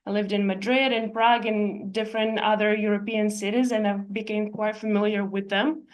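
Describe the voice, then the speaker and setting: Woman, architect presenting, measured and explanatory, frequent pauses, mid pitch. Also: flat delivery, rough recording. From Ukraine. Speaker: architect and real estate investor presenting. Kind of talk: presentation walking through slides.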